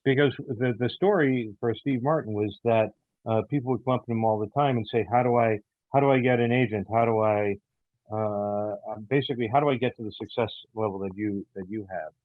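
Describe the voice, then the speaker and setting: Low-voiced man speaking haltingly. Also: no echo, phone-quality sound. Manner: hesitant, halting, earnest. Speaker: audio theater show creator, interview guest. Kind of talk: casual interview talk.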